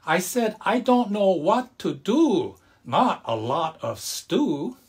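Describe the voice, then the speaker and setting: A man's voice: high-pitched, sing-song, slow, husky, pitched above the performer's own voice, exaggerated voice. A man voicing an exasperated but loving Hawaiian grandmother in a children's story, with emphatic exclamations. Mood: amused exasperation.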